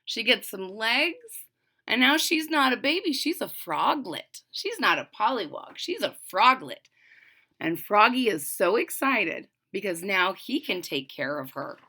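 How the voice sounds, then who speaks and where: A woman's voice: medium pitch, sing-song, unhurried, bright, forceful tone, breathy edge. A woman reading a children's story aloud, narrating expressively and emphatically and adding simple explanations. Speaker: storybook reader.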